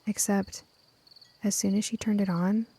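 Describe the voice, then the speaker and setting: A woman's voice: mid-range voice, fairly even intonation, measured pace, dark tone, slightly rough. A woman reading scripted first-person story narration as an audiobook narrator.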